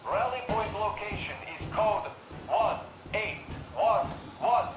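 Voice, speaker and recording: An actor playing a formal official announcer on a radio transmission in a video game. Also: male voice, sits high, animated, takes it slowly. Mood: official, urgent. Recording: echoey radio broadcast under loud music.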